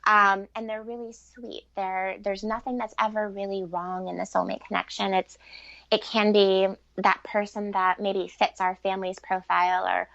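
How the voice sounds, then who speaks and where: Woman chatting in an interview, thoughtful n earnest, lots of vocal fry, kinda lo-fi dry sound. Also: medium pitch, level tone, normal speed, a bit bright, no echo. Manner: earnest, reflective, informal. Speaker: interview guest, relationship author and coach. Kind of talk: conversational podcast interview answers. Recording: dull, lo-fi sound.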